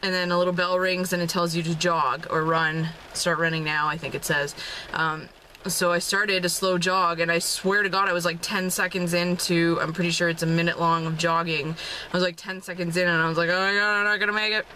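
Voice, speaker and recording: A woman's voice: on the low side, level tone, brisk, pushed and bright, slight background noise, low-quality recording. A young woman in her twenties chatting casually to the camera from a parked car, upbeat and rambling, with lots of ums and little laughs. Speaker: fitness vlogger. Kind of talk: casual vlog talk to camera. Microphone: camera held at arm's length. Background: rain outside.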